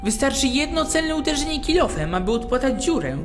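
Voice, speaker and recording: A woman playing an eager, talkative, hopeful young male prisoner in a fantasy audio drama, excitable and insistent. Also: sits high, brisk, over music.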